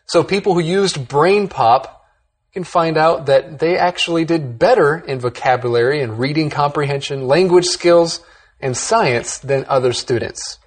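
An English lesson host reads vocabulary explanations and example sentences in an upbeat way. The voice is breathy and a little husky, and the host talks like a teacher. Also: medium pitch, a little slow. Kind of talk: scripted English lesson narration.

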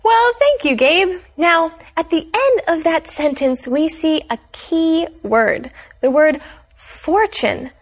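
Woman, lesson host, high voice, clear measured delivery. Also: animated. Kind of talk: scripted lesson dialogue.

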